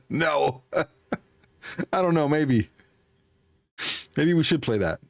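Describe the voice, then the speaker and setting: A man's voice: fairly high pitch, expressive, extremely slow, gravelly, a little hiss or hum. A man chatting casually as a livestreamer, in an amused way, often repeating himself. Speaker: livestreamer playing a game.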